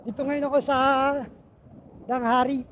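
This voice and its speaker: A Filipino motovlogger talking casually and haltingly while riding a motorcycle, speaking through a helmet intercom. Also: sits high, level tone. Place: on a motorcycle outdoors in traffic. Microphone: Bluetooth helmet intercom microphone. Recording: muffled helmet intercom with loud road noise. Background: vehicle and road noise.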